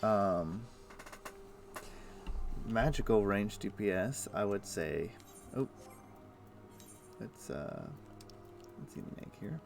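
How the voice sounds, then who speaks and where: A man's voice: lowish voice, slow, creaky, pauses often, music in the background. A man streaming a game from home on a headset, chatting casually and thinking aloud with plenty of ums and uhs. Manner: relaxed, thinks aloud with many fillers. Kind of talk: casual chat while gaming.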